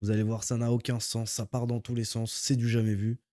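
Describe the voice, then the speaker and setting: Dark-voiced man telling a story casually. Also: mid-range voice, little pitch movement, brisk, no room sound, clean studio sound. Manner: playful, mock-admiring commentary. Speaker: YouTube storyteller.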